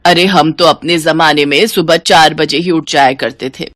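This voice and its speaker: A woman's voice: sits low, steady tone, normal speed, fairly bright tone, dry recording, voice pushed down. A woman voicing a scolding, sarcastic older mother-in-law in a moral story cartoon. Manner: nagging, sarcastic. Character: scolding, taunting older mother-in-law, grumbling about daughters-in-law.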